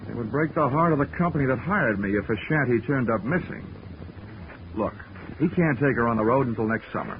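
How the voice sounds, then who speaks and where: A man's voice: mid-range voice, lively intonation, brisk, slight background noise, close to an everyday voice. An actor playing a wisecracking male insurance investigator who narrates his own case, in a 1940s radio drama with a dry, wry delivery. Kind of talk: 1940s radio acting, first-person narration. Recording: dull 1940s radio recording with music.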